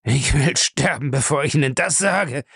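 A man's voice: on the high side, expressive, normal speed, no room sound, clean studio sound, pitched above the performer's own voice. A man voicing a cowardly, beaten crook, defiant and terrified, gasping in desperation in an audiobook. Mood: desperate, defiant. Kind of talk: audiobook character acting.

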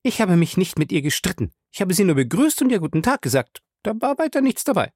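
A man voicing a wary, sharp-tongued woman in an audiobook, curt, indignant and suspicious. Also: high voice, sing-song, fast, slightly rough, no echo, clean studio sound, pitched above the performer's own voice, exaggerated voice. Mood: firm, suspicious. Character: wary, sharp-tongued woman guarding her good reputation. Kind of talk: audiobook character dialogue.

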